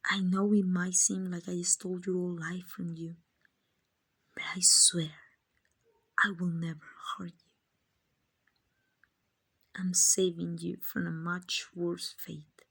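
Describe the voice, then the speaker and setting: A woman acting as a kind, gentle female vampire who owns a mansion, in a hushed, breathy, reassuring ASMR roleplay. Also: on the low side, full character voice. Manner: hushed, breathy, tender and reassuring, often near whispering.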